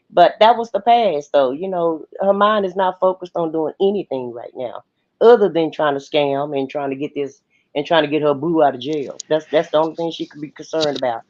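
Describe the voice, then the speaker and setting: A woman's voice: low voice, a little mellow. A woman talking in a colloquial, opinionated way in casual livestream gossip commentary. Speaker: co-host of a livestream. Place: livestream call. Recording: muffled lo-fi livestream audio.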